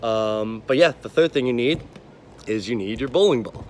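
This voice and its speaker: Young American coach outdoors, noisy background. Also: male voice, medium pitch, animated, normal speed. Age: 20s. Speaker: sports coach. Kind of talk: casual instructional video for kids. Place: outdoor backyard. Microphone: phone, at arm's length, then farther away. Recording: outdoor noise, phone video.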